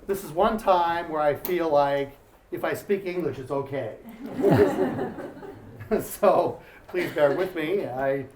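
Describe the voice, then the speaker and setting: A man's voice: a little high, slow, noisy background. A man from Ohio, the embassy's commercial counselor, giving an informal welcome speech with plenty of "um" and small jokes. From Ohio, US Midwest. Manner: informal, many fillers, self-deprecating jokes. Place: embassy event room. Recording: echoey room.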